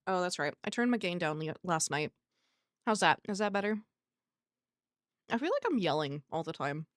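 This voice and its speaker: A soft-voiced woman hosting a livestream, chatting casually with viewers and muttering asides to herself. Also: on the low side, very animated, moderate pace, no room sound, clean studio sound. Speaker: livestream host.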